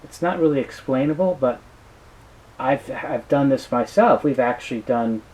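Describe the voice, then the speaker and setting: A man being interviewed, talking casually and explaining things in a halting way with frequent restarts. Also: mid-range voice, darkish tone, rough recording. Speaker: business founder being interviewed.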